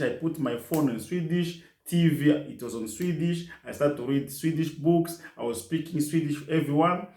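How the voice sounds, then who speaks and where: A middle-aged man from Congo speaks English, his being French. His voice sits at a middle pitch and he talks earnestly, circling back and repeating phrases as he goes. The recording is roomy, with clear echo. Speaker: interview guest. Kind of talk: casual storytelling interview.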